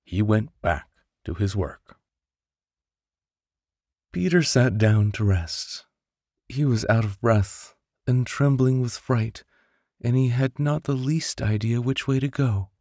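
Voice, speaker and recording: Low, gravelly male storyteller, unhurried. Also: darkish tone, no room sound, studio quality. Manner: theatrical, with whispers and sound-effect voices. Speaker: storybook narrator. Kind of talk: expressive bedtime story narration. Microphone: close studio condenser microphone.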